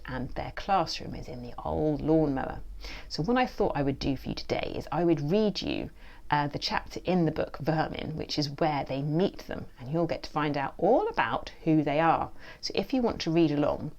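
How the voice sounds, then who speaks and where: Low, creaky-voiced woman reading a storybook. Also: darkish tone. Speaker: children's author reading own book. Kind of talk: expressive storybook reading and presenting.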